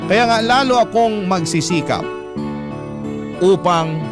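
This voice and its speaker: A man's voice: sits high, slow, music in the background. An actor playing a devoted, hardworking young family man with a Filipino accent, telling his hardships as an earnest, confiding first-person radio drama narration. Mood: hurt but resolute.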